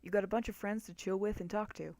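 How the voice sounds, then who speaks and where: A woman's voice: medium pitch, steady tone, fast talker, darkish tone, no echo, natural voice. A woman voicing a laid-back, joking male skeleton with a protective big-brother streak, in a casual, slangy dramatic reading. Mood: reassuring. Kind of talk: dramatic fan-fiction reading.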